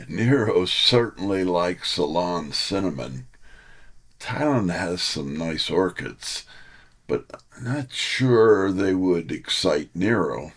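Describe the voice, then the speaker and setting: Author guy in an interview, talks slow but really animated, kinda polished and scripted. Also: low-pitched.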